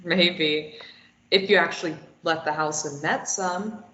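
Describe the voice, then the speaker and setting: A woman's voice: fairly low pitch, unhurried, fairly bright tone. A woman reading a sardonic, probing young captive at a play table read, teasing and wry. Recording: echoey room, muffled lo-fi.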